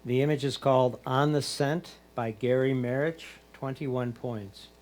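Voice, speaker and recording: A man's voice: medium pitch, steady tone, a little slow, darkish tone, a little hiss or hum. A man working as a competition score announcer, reading out titles and scores in a formulaic way.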